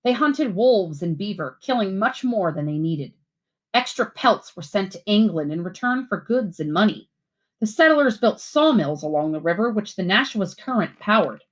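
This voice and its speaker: A woman reading a children's picture book aloud like a teacher, with clear phrasing and expressive, instructive delivery. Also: medium pitch, normal speed. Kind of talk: storybook read-aloud for children.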